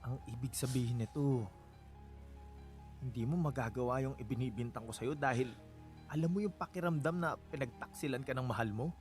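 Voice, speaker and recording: An actor playing a forgiving, principled husband in a Filipino radio drama, speaking earnestly. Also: male voice, medium pitch, brisk, pauses often, soft background music, lightly acted. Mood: apologetic.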